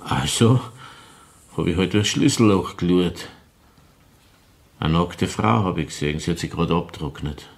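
An actor playing a bitter, woman-hating killer in a crime radio drama, confessing deliberately in Viennese dialect. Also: sits low, vocal fry. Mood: grave, confessing. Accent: Viennese Austrian. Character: confessing opera-house murderer, brooding, bitter woman-hater in his thirties.

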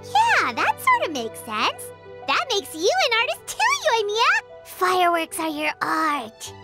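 A very high, squeaky little fairy girl, bouncing all over her range as she chatters bluntly and bossily. She sounds like a game sidekick, with music playing clearly underneath. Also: wildly swooping pitch, slow pace, heavily acted. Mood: chirpy. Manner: speaks of herself in third person, bossy. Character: tiny floating fairy girl companion, chirpy and blunt. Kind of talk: video game voice acting.

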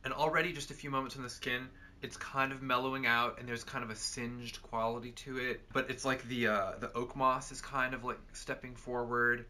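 A man's voice: medium pitch, flat delivery, a little fast, hardly any pauses, pushed and bright, a little hiss or hum. A young man co-hosting a perfume review, chatting casually with lots of fillers in an indoor room. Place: cluttered indoor room with bookshelves.

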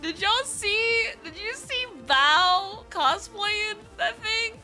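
A woman's voice: extremely high pitch, drawn-out words, pushed and bright, soft background music. A congested, nasal-sounding woman chatting casually and playfully with viewers as a livestreamer, giggly and affectionate. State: congested from illness. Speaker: streamer.

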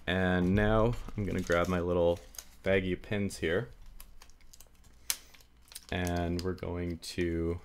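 Low-pitched male hobbyist, unhurried, stuffy nose, rambling tabletop demo. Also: gravelly, a little mellow. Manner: rambling, self-deprecating, many fillers. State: stuffy, getting sick. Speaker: hobby lockpicker demonstrating. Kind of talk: casual hands-on tutorial. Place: indoors at a table.

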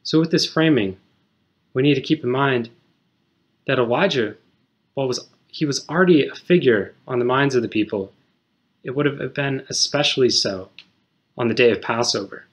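Measured man giving a short sermon. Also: medium pitch. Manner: measured, explanatory, reads scripture aloud. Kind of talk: scripted sermon. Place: small indoor room at home.

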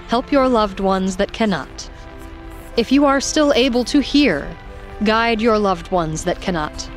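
A woman playing an emergency broadcast announcer in an audio drama, giving measured, reassuring instructions. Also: a little high.

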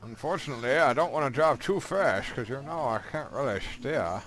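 A man's voice: medium pitch, lively intonation, brisk, a bit bright, rough recording. A man playing a doddering old grandpa with bad eyesight in a game roleplay, drawling and folksy. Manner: folksy, drawling, calls others boy and sonny.

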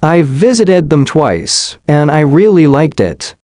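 Slow man reading lesson sentences. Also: on the high side, very animated, a little mellow, no room sound, clean studio sound. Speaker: English reader. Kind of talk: slow scripted reading for learners.

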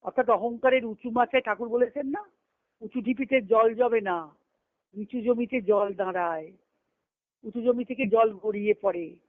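A woman's voice: on the high side, normal speed, no echo. A woman giving a devotional scripture discourse as a spiritual teacher over a conference call, explaining phrase by phrase with repetition. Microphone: conference call line. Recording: muffled lo-fi conference-call phone audio.

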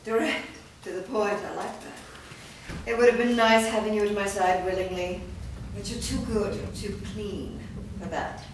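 A silky, menacing ancient vampire mistress, read by a woman at a staged reading. She speaks slowly and coldly, and the voice sits far from the mic in a very echoey room with faint background hiss. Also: mid-range voice, natural voice. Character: ancient female vampire master, cold and scheming. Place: large studio room. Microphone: far camera mic.

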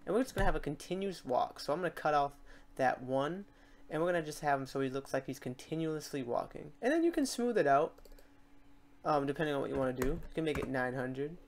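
A tutorial host walking through software steps in an informal way, thinking aloud while demonstrating. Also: medium pitch, expressive, fast talker. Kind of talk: casual screen-recorded software tutorial.

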